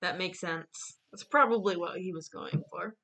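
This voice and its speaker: A woman co-hosting a casual chat, retelling a story in a halting, amused way. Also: lowish voice, crowd noise. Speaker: co-host recapping a drawn story.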